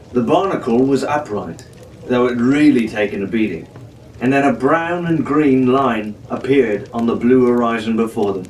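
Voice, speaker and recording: A man's voice: mid-range voice, recorded from a distance, slight background noise, low-quality recording. A man reading an adventure story aloud as a storyteller, in dramatic scripted audiobook narration.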